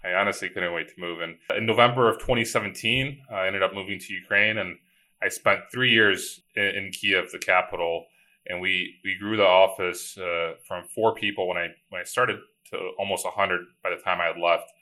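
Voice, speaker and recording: A man's voice: low voice, fairly even intonation, moderate pace, pushed and bright, rough recording. A man, a company founder telling his story in a casual, easygoing way, full of repeated words and "like". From Chicago, USA. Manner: easygoing, frequent word repeats and "like" fillers. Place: remote call from home.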